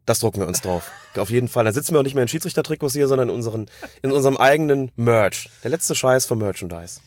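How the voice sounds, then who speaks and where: Fast-talking man with a congested voice. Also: mid-range voice, lively intonation, darkish tone. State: congested voice from a cold. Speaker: podcast commentator on football refereeing. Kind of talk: casual analytical podcast talk.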